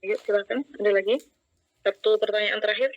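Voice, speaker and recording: A woman lecturer moderating an online class over a video call, giving brisk, short prompts for questions. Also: medium pitch, steady tone, no echo. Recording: dull dry online call audio.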